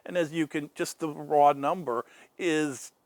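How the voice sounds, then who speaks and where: An American man giving conversational interview answers. His voice runs slightly high for a man, and the sound is dry, with very little room around it. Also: fairly even intonation, unhurried, darkish tone, no room sound. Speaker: former warrant office chief, interviewee.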